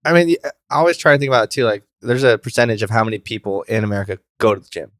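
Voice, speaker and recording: A man co-hosting a podcast, talking casually and a little haltingly as he works out his thoughts. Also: on the low side, no room sound, studio quality. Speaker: podcast co-host. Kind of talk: casual conversational podcast chat.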